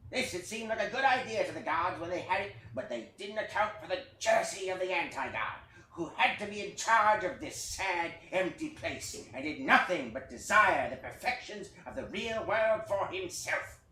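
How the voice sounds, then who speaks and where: Man as a high-voiced theatrical storyteller, roomy and distant. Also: lively intonation, pushed and bright. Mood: dramatic, wry. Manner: wry, dramatic pauses, conversational asides. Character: lively puppet-show storyteller spinning a mock-epic creation fable. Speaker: actor narrating a fable in a play reading. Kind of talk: theatrical storytelling, staged play reading. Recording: roomy, distant mic, faint noise.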